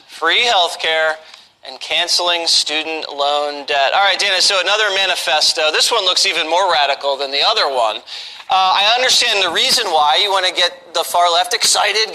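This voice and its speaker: A man anchoring a cable news segment in crisp broadcast style. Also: sits high, moderate pace, bright, forceful tone, poor audio.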